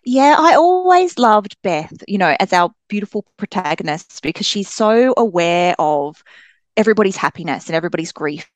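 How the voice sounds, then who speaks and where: A woman's voice: medium pitch, sing-song, normal speed, no room sound. An Australian woman talking casually and enthusiastically about books as a podcast guest. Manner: enthusiastic, chatty. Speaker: guest author on a book podcast. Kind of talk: casual book discussion.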